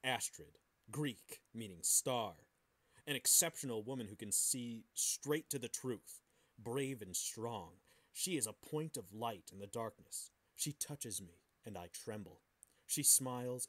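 A man co-hosting a podcast, bantering casually and reading aloud. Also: medium pitch. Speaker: podcast host.

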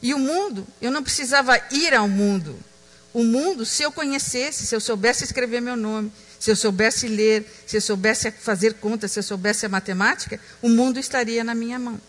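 A woman giving a reflective talk on stage, slow and emphatic, dropping to a hush on key words. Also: fairly high pitch, big-room sound. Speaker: speaker.

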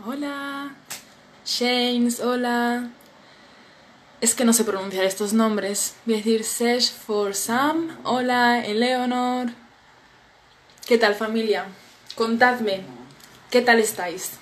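Young woman, slightly high voice, sleepy and chatty, phone mic, faint background noise. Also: takes it slowly, pauses often. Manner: friendly, chatty, reads comments aloud and reacts. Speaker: Spanish teacher hosting a live stream. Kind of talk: casual live-stream chat reading viewer comments. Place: small indoor room at a table. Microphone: phone camera at arm's length.

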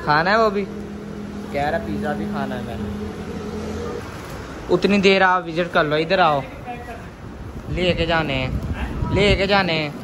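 A young man speaks on a handheld phone camera with a slightly high voice, in no hurry, patiently coaxing children along. Street and shop noise run clearly behind him. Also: measured pace, long pauses. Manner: coaxing, repeats short prompts to the children. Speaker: vlogger. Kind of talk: casual vlog narration. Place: outdoors on a street and inside a small bakery.

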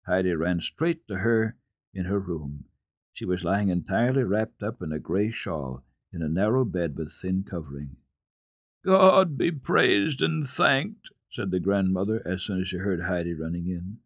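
Low-pitched male children's storyteller, dry sound. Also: a little mellow, breathy edge, dry recording. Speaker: narrator, children's radio storyteller. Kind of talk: dramatic reading of children's literature.

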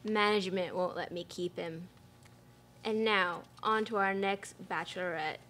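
A woman's voice: medium pitch, steady tone, unhurried, fairly bright tone, a little hiss or hum, lightly acted. A woman playing a weary, sardonic dating-event announcer in a radio play, dry and a little exasperated. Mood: dry, announcing.

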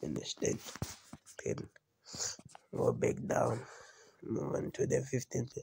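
A man with a cold talks through a phone screen recording in a casual tutorial. His voice is gravelly and husky, with a dark, mellow tone. He keeps repeating phrases and checking "you understand?". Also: mid-range voice, expressive, slow, no echo. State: has a cold. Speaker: trading video host.

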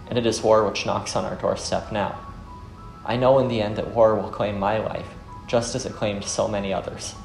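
A weary, brooding former soldier, acted by a man in a visual novel. His voice is low and his delivery earnest and measured. It sounds in an echoey room with music underneath. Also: darkish tone, lightly acted. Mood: grave, brooding. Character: weary, brooding male ex-soldier turned fisherman.

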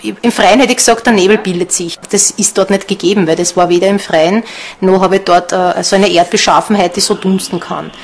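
A woman's voice: mid-range voice, steady tone, brisk, slight background noise. A woman with an Austrian accent explaining things spontaneously in a documentary interview. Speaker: ghost hunter.

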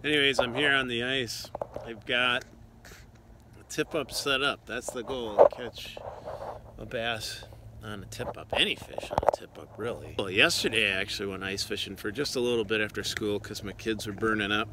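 A man in his forties filming himself ice fishing outdoors with a handheld phone, chatting in an excitable, self-deprecating way. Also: lowish voice, normal speed, heavy background noise. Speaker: fishing vlogger. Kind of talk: casual vlog narration. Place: outdoors on a frozen pond. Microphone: phone camera at arm's length.

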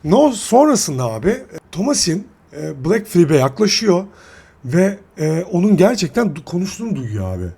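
Male film reviewer: slightly high, husky voice, chatty and slangy, stumbles and restarts his sentences. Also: sing-song, warm tone. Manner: halting, self-correcting delivery. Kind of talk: casual, slangy film commentary.

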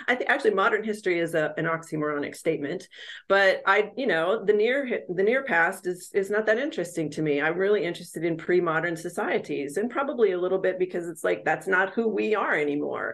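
A woman's voice: fairly low pitch, a bit bright. A middle-aged woman historian chatting over a video call from a room at home, thoughtful and reflective, with many fillers. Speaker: history professor. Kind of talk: casual chat. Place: home room with a door and shelves.

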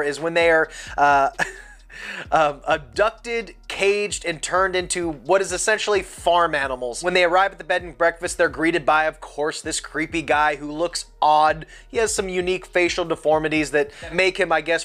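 A man's voice: a little high, a bit bright. Chatty man reviewing a movie. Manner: chatty and candid, gestures with both hands. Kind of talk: casual spoken movie review. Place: home room lined with movie shelves.

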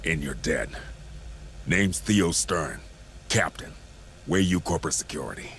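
An actor playing a hardened, cynical security captain in a video game, gruff, gravelly and sardonic. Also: male voice, deep voice, a little slow, a bit bright, slight background noise. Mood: sardonic calm. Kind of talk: cinematic game acting.

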